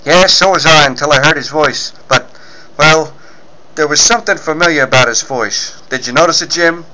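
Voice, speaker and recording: A man voicing a brisk, fatherly, blustery newspaper editor in 1940s radio serial style. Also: on the high side, bright, forceful tone, a little hiss or hum, low-quality recording. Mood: brisk, resigned.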